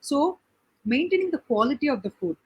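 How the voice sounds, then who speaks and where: A woman's voice: high voice, very animated, dull audio. A woman from Tamil Nadu, earnestly describing her charity work and saying "okay" often as a guest on a chat show. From Tamil Nadu, India. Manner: earnest, fills pauses with "okay". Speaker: guest, NGO founder. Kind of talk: conversational interview answers.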